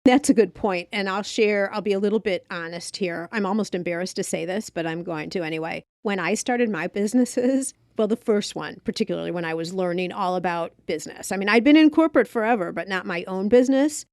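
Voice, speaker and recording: A woman's voice: medium pitch, a little fast, few pauses, a little mellow, no echo. Woman hosting a chatty podcast, dry sound. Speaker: podcast host. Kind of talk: conversational podcast interview.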